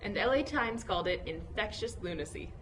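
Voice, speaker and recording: A woman's voice: mid-range voice, animated, a little fast, slight background noise, poor audio. A woman co-hosting an interview show, reading a scripted introduction with enthusiasm before asking her questions. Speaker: co-host. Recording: voice over loud music.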